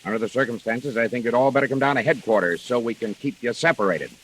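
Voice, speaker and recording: An actor playing a stern, commanding police detective in a 1940s radio mystery. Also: male voice, mid-range voice, fast, natural voice, low-quality recording. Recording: hissy old radio recording.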